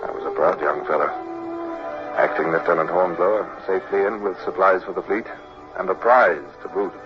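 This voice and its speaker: An actor playing a proud young British naval officer who recounts his adventures in a measured, literary 1950s radio drama style. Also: deep voice, fairly even intonation, natural voice. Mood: proud, wry. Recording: muffled old radio recording, music behind.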